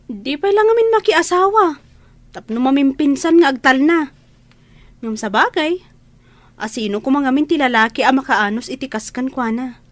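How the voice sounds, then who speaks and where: Scornful, gossipy Ilocano sister-in-law, high voice, dry close sound, radio drama. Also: female voice, expressive, moderate pace, long pauses, no room sound. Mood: indignant, scornful.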